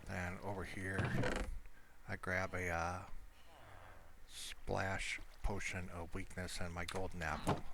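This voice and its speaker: A man giving casual, rambling commentary over his own video game play, speaking off the cuff. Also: deep voice. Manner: rambling, unscripted asides. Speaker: gamer narrating own gameplay. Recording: clearly noisy home recording.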